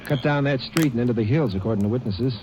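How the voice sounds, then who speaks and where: A man's voice: mid-range voice, fast, background noise, natural voice. An actor playing a helpful male lawman briefing a Ranger in plain terms, in a 1940s radio drama. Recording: lo-fi vintage radio recording with crowd.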